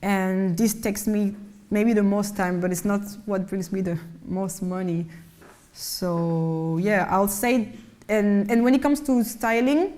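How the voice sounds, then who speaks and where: Low-voiced woman artist talking casually. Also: slow pace. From Mali and France. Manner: low voice, relaxed, laughs often, restarts words. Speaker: artist speaking at a panel. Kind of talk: casual conversational talk.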